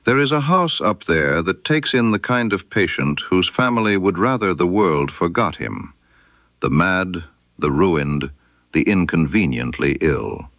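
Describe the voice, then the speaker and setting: Guy reading as a knowing underworld lady in a detective tale, soft, guarded, amused, takes her time, low creaky voice. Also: lively intonation, dry recording, muffled recording. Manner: soft, deliberate, veiled warnings.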